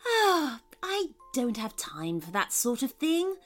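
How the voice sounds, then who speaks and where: A woman's voice: fairly high pitch, sing-song, unhurried. A woman voicing a self-important female owl in a children's story, pompous and dismissive.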